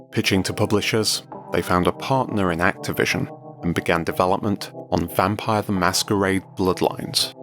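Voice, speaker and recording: A man narrates a scripted documentary in a low-pitched voice. Music plays clearly behind him as he tells the story. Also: a bit bright.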